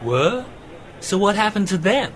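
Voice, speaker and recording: A man acting as a curious, hesitant companion in a radio play, halting and full of questions. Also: high voice, very animated. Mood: puzzled. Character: curious, hesitant male companion, puzzled by science.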